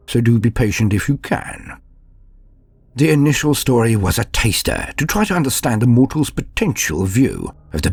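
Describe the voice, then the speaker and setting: A man with a gravelly, husky voice hosts a fantasy lore show, narrating in a dramatic, portentous and formal manner. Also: on the low side, a little mellow, no room sound. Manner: theatrical, portentous, formal diction. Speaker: host of a fantasy lore channel.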